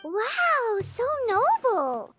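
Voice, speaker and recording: A woman voicing a small, chirpy, childlike fairy companion in a video game, excitable and blunt. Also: very high-pitched, extremely slow, faint music behind, heavily acted. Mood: impressed. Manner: childlike, exclaiming, speaks of self in third person.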